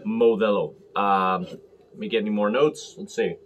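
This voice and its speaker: An American man hosting a casual beer review to camera, talking in halting, clipped short phrases. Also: lowish voice, fairly even intonation, lo-fi sound, slight background noise. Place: small room at home, indoors.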